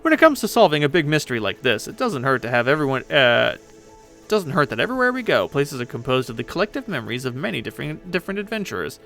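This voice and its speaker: A man plays a cocky pirate ghost girl from an audio drama. The delivery is very animated and sarcastic, with a gravelly creak at the edges. The manner is impatient and boastful. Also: fairly high pitch, fast talker, faint music behind, heavily acted. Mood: boastful, excited. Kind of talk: dramatic fan reading of a web story.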